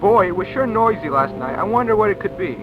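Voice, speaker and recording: Guy doing young monster hunter, stiff formal lines, pretty high voice, loud music under it. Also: animated, quick pace, phone-quality sound. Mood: eager. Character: earnest young male monster-hunting adventurer.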